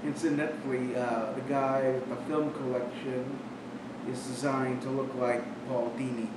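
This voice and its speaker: A man's voice: little pitch movement, a little slow. A man casually reviewing a cartoon episode, retelling the plot in a rambling way with restarts. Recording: very echoey, distant from mic, noisy with rumble.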